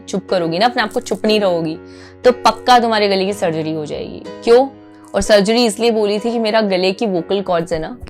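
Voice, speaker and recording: A woman with an Indian accent gives informal instruction to viewers, emphatic, repeating key phrases, checking in often and switching between two languages. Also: medium pitch. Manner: mixes Hindi with English phrases. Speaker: anchor giving voice coaching.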